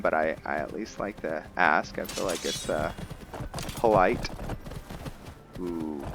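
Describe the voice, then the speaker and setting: A man's voice: sits low, gravelly, fairly bright tone. A man giving casual gameplay commentary, thinking aloud hesitantly and chatting to his viewers. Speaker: host of a gameplay roleplay series. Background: background music.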